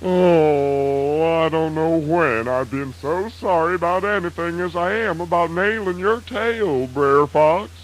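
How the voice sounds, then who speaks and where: A man's voice: a little high, slow, hardly any pauses. A man playing a sly, folksy trickster rabbit in children's radio theatre, with a Southern US accent and a drawling, mock-sorrowful manner. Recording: noisy old radio drama recording.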